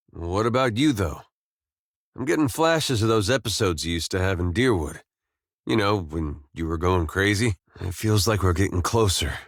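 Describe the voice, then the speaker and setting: An actor playing a laid-back, wry farmer and veteran soldier in a video game, with a folksy, understated drawl. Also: male voice, fairly low pitch, no echo, clean studio sound. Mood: dry, casual. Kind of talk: video game character dialogue.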